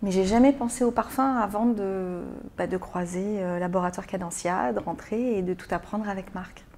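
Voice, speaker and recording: A Frenchwoman in a sit-down interview, speaking at a middle pitch. She answers thoughtfully, stops often to find her words and repeats them before going on. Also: moderate pace, darkish tone, slight background noise. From France. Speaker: interview guest, business owner. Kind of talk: hesitant conversational interview answers.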